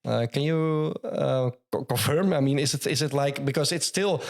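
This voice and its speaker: A man hosting a podcast, asking questions in a halting, friendly way with many pauses and restarts. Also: fairly high pitch, gravelly. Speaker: podcast host. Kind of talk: casual podcast interview.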